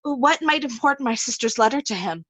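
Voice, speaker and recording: A woman's voice: fairly high pitch, expressive, moderate pace, a bit bright, no echo. A woman playing a jealous, scheming noblewoman in an amateur Shakespeare reading, probing and imperious. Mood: suspicious. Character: scheming, jealous noblewoman Regan, coolly commanding. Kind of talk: amateur Shakespeare reader's theatre.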